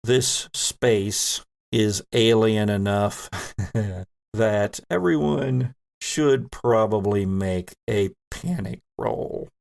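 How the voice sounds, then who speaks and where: A man narrating a tabletop horror game as game master, descriptive and deliberate, pausing between phrases. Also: lowish voice, gravelly, darkish tone.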